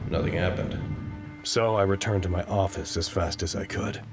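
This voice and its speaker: A man acting in an audio drama as a well-meaning, exasperated man juggling a crisis, urgent and excitable. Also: deep voice, moderate pace, over loud music, natural voice. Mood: narrating.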